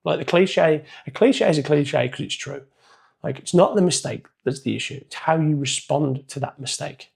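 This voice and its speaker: Croaky, hoarse man coaching. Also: a little high, normal speed, darkish tone. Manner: casual, chatty, loosely structured. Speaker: performance coach. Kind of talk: motivational coaching talk.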